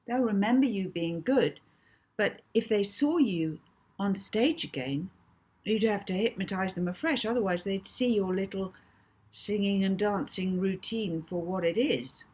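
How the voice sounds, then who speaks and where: A woman's voice: mid-range voice, unhurried, a little mellow, slightly rough. A woman voicing a young boy in a children's audiobook, a reassuring best friend who explains things insistently and matter-of-factly. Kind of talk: children's audiobook character dialogue.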